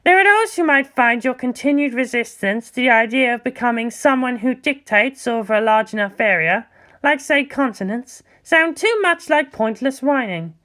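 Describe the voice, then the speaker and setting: A woman's voice: a little high, animated, normal speed, pushed and bright. A woman voicing an old male monk, a sardonic teacher who asks pointed, challenging questions in a dramatised story. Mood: sardonic probing. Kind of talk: dramatised fan fiction reading.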